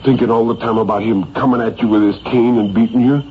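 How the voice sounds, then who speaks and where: A man's voice: medium pitch, fairly even intonation, fast, lightly acted. An urgent, imploring male lover, played by a male narrator in a vintage radio tale, pressing his case with feeling. The sound is dull and noisy. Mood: pleading, urgent. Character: pleading, urgent male lover planning to elope. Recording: noisy, muffled 1950s radio recording.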